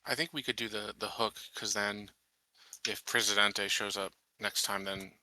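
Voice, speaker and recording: A man's voice: fairly low pitch, fairly even intonation, normal speed, sharp tone, dry recording, poor audio. A man playing a tabletop game, chatting casually with the group. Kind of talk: casual chat.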